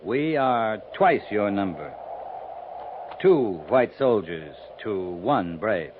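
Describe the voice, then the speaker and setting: An actor playing a dry, calm, commanding cavalry captain in a 1950s radio western, terse and understated. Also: male voice, on the low side, slow pace. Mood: calm, measured. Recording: muffled, hissy 1950s radio recording.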